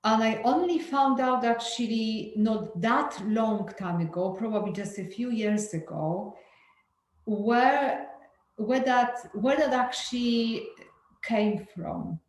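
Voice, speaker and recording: A woman's voice: a little high, slow. A woman from Poland, speaking in a candid, hesitant way with frequent fillers and restarts, as a guest in a group talk over a video call. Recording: echoey room with faint ambient noise.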